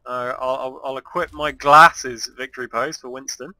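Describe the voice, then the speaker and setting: A young English man co-hosting a gaming video, chatting casually and shouting excitedly during play. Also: medium pitch, normal speed, no room sound. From England. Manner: excitable, loud bursts of shouting. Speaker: gaming channel co-host. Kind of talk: casual gameplay chat.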